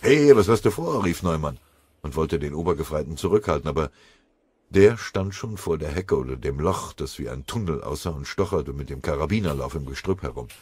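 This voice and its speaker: A man reading a war story as an audiobook narrator in dramatic scripted narration, with a husky voice. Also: extremely low pitch, fairly quick, no echo.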